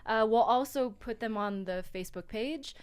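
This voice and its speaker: A woman guest on a radio show, calmly explaining business funding in a relaxed, explanatory way and spelling things out slowly. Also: fairly high pitch, smooth. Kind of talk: radio studio chat.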